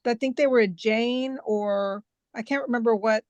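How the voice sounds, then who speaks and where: A woman's voice: a little high, fairly even intonation, moderate pace, a little mellow, no echo, lo-fi sound. A woman taking part in a webinar discussion over a video call, speaking in a thoughtful, conversational way. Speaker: webinar participant.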